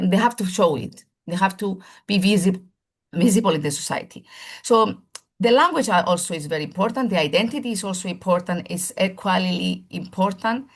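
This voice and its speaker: A woman, a primary school teacher, giving a seminar presentation in formal lecture phrasing, earnest and often restarting as she searches for words. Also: mid-range voice.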